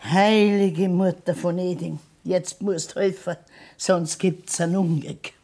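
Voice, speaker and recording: Old Bavarian farm grandmother, blunt, scolding, unhurried, radio drama. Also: fairly low pitch, steady tone, mellow. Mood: worried, reproachful. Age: older. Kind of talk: radio drama acting in dialect.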